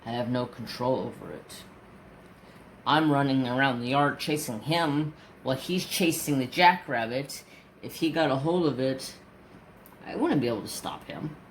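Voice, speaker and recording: A man's voice: medium pitch, moderate pace, a little hiss or hum. A man in his forties chatting casually in a rambling, storytelling way while crafting. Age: 40s. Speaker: crafting vlogger.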